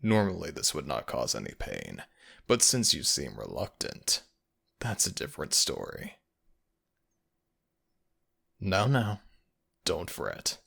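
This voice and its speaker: A man playing a sadistic, mocking male fox spirit in an ASMR audio roleplay, speaking with slow, theatrical menace. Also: very deep voice, wildly swooping pitch, creaky, a bit bright, breathy edge, full character voice. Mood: menacing.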